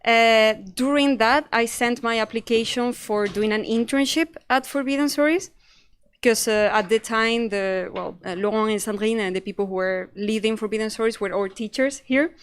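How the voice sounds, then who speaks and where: A woman's voice: on the high side, normal speed, smooth. A young woman from Spain with a Spanish accent, telling students about her first job in a chatty, self-deprecating way. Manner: chatty and self-deprecating, acts out her own past thoughts. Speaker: panel speaker, investigative journalist. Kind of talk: casual panel talk to students.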